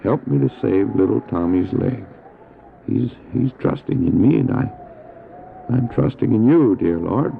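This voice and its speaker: An actor playing a determined, devout doctor who drives a dog sled, in an animated, exclamatory audio drama style. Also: male voice, on the low side, unhurried, vocal fry, slight background noise, heavily acted. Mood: prayerful, earnest. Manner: animated, exclamatory, self-talking. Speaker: character who also narrates his story. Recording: dull old cassette drama, loud music.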